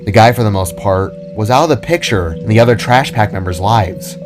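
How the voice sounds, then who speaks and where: A man's voice: fairly low pitch, animated, a little fast. A man reading scripted fiction as a storyteller. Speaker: storyteller, narrator. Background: music.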